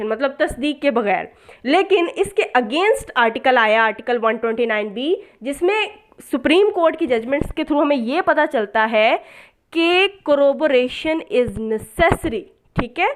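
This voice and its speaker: A woman giving an explanatory law lecture as a teacher, posing questions and answering them, repeating points and mixing in English terms. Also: high voice. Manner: mixes in English legal terms.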